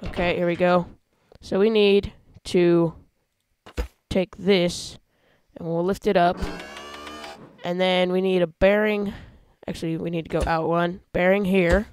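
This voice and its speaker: A man's voice: sits high, measured pace, slight background noise. Chatty young man explaining a building game. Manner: chatty, explains while building, excited yelps when driving. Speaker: gameplay video host. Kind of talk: casual let's-play commentary.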